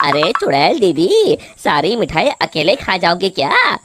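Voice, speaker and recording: A woman voicing an excitable, food-loving village fellow in a cartoon moral story, with melodramatic exclamations and a slightly noisy recording. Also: on the low side, measured pace. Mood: dismayed, pleading. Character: excitable, food-loving, easily frightened village man Shamu.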